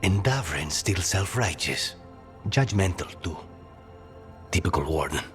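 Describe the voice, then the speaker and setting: Deep-voiced, grave fantasy companion. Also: male voice, animated, unhurried. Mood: needling. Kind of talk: video game voice acting. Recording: faint music behind.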